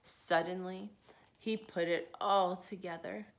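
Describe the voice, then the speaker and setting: A woman in her thirties reading picture books aloud to children, expressive and sing-song, and a little tired. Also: on the low side, slow, vocal fry. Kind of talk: picture-book read-aloud.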